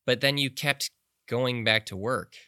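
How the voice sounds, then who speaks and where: An actor playing a probing, measured public radio journalist in an audio drama, interviewing and narrating documentary style. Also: male voice, mid-range voice, pushed and bright, clear, resonant tone, dry recording, clean studio sound. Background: instrumental music.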